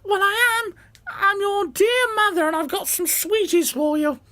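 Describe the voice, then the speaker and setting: A storyteller voicing a rude, greedy male wolf who puts on a sweet, high motherly voice to trick the kids. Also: a little slow, pushed and bright, heavily acted. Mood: fake sweet. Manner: brash and rude, high fake sweetness when posing as mother.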